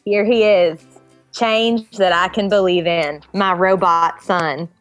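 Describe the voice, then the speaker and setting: A woman's voice: lowish voice, steady tone, takes it slowly, soft background music. A woman playing a contented wife and mother in a comedic table read. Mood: blissfully content.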